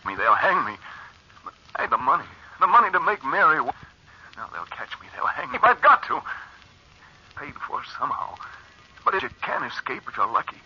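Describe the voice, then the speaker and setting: An actor playing a panicky, guilt-ridden man on the run in an old radio thriller, halting and melodramatic. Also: on the high side, very animated, slightly rough. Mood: frantic, desperate. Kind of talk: 1940s radio thriller acting. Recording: muffled, faintly noisy old radio recording.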